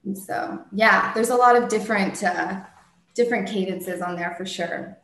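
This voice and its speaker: A woman, a singer-songwriter, answering interview questions in a soft soprano voice, thoughtful, with many ums and restarts. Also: mid-range voice, moderate pace, gravelly, echoey room, poor audio.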